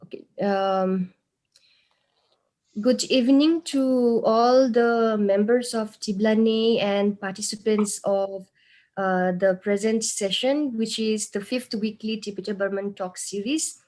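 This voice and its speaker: A woman hosting an online talk series, formally introducing the guest speaker over a video call, reading slowly with fillers. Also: mid-range voice, steady tone, darkish tone. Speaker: session host.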